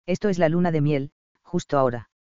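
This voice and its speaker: A man's voice: on the high side, brisk, a little mellow, smooth, no echo, close to an everyday voice. A man voicing a sick young woman who is wry and self-mocking, read in a dull, dry recording. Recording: slightly dull dry audio.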